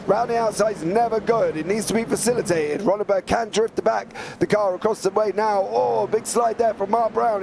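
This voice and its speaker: A man with a croaky, flu-roughened voice, pitched a little above the usual, calls a sim race with lively play-by-play and quick jokes. A clear hiss of noise sits under his voice. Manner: chatty, quick to joke, excited play-by-play. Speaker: sim racing commentator. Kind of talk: live race commentary.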